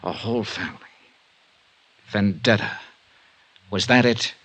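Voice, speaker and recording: An actor playing a hard-boiled, world-weary insurance investigator with an American accent and clipped, punchy phrasing in 1950s radio style. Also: male voice, fairly low pitch, unhurried, fairly bright tone, breathy edge, exaggerated voice. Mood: incredulous, outraged. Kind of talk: 1950s radio detective acting and narration. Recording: vintage 1950s radio recording.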